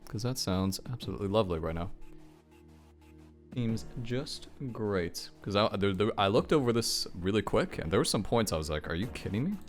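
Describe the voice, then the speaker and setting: A man giving casual, exclamatory reaction commentary as a video creator, thinking aloud and swearing freely. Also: fairly low pitch.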